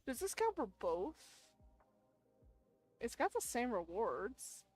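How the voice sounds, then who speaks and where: A woman's voice: on the high side, sing-song, slow pace, slightly rough, faint music behind. A woman giving casual gameplay commentary, informally thinking aloud as she plays. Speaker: gamer playing a role-playing game for viewers.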